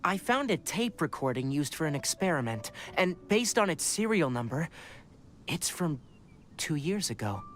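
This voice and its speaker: An actor playing a composed, protective young scholar in an anime video game, explaining things in a measured, clinical, serious manner. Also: male voice, on the high side, big pitch swings, fairly bright tone, a little hiss or hum. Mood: analytical. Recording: game audio with music behind.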